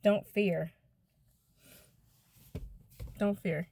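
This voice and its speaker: Gentle, chatty woman in her fifties. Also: mid-range voice, steady tone, very slow, creaky. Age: 50s. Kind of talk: casual devotional talk to viewers.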